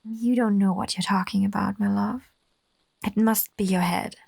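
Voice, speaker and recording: A woman playing a possessive, jealous lover in a horror radio drama, with a condescending, deliberate, theatrical delivery. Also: mid-range voice, fairly even intonation, gravelly, darkish tone, breathy edge. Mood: cold, condescending menace.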